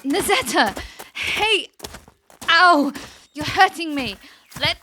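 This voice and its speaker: A high-voiced, mocking young princess. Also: female voice, animated, slow, pushed and bright, a little hiss or hum, full character voice. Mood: indignant, furious. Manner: mocking, theatrical, sarcastic. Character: taunting, mischievous, spoiled young princess. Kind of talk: fantasy audio drama acting.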